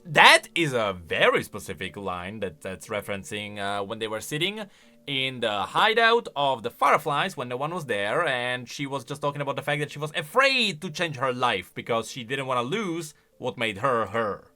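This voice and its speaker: Man, casual game commentator, highly animated intonation, bright voice, enthusiastic, explanatory. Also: normal speed.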